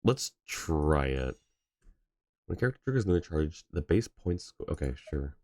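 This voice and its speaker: A man's voice: a bass voice, big pitch swings, moderate pace, a little mellow, no echo, studio quality. A man livestreaming a game, giving casual commentary and thinking aloud in a hesitant way. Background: game music.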